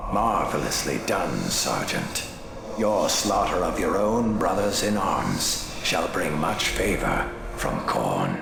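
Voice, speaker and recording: An actor playing a gloating, fanatical warlord villain in a video game, speaking slowly and grandly with menace. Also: male voice, deep voice, very animated, vocal fry, exaggerated voice. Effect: transmission over a vox channel. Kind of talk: scripted video game acting. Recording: echoey voice with music behind.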